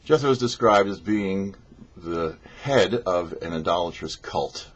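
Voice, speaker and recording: A man, a rabbi, giving scripted religious teaching in a measured, explanatory way on his show. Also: deep voice, lively intonation, slightly rough, highs cut off. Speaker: rabbi hosting a weekly Torah portion show.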